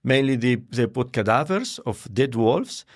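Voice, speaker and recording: Interview guest, male, non-native English, gravelly, halting and full of fillers. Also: medium pitch, animated. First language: not English. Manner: halting, searching for words with many fillers. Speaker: interview guest, scientist and filmmaker. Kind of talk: conversational interview.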